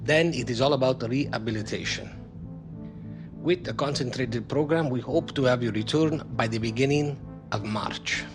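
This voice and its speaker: A man's voice: medium pitch, natural voice. Man acting firm, formal football official, music behind. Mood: grave, sympathetic. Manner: formal, measured wording. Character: firm, caring male football team official. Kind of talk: video game cutscene acting. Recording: game dialogue over music.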